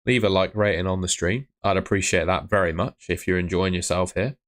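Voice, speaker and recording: A man's voice: deep voice, fairly even intonation, fairly quick. A young man who is losing his voice, streaming from his room at home and answering viewer questions in a blunt, chatty way. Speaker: fantasy football streamer.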